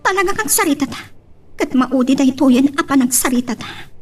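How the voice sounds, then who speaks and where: A woman plays a wronged wife in an Ilocano love drama, her voice set high. She speaks with an Ilocano accent, wounded and resolute, like someone determined to walk out on her marriage. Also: lively intonation, fairly quick, darkish tone. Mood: accusing. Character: hurt, betrayed wife determined to leave her husband. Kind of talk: Ilocano radio-style love drama acting.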